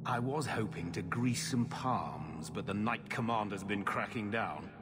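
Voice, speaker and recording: An actor playing a shifty, weary middle-aged uncle in a video game, gruff and evasive. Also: male voice, on the low side, unhurried, very noisy, natural voice. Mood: grudging, persuasive. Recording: music playing behind the voice.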